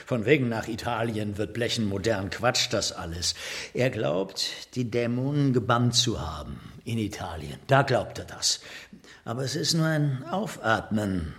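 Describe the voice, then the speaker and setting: An actor playing a brooding, easily irritated West Berlin curator in a naturalistic German radio drama, clipped and insistent, repeating himself. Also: male voice, medium pitch, expressive, measured pace, a bit bright. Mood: sceptical, amused.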